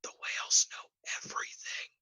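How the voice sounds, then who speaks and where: A man's voice: normal speed, sharp tone, in a whisper. A man playing a paranoid, chummy, laid-back stoner surfer with a drawling, conspiratorial manner in improvised comedy. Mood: paranoid whisper. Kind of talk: improvised comedy role-play.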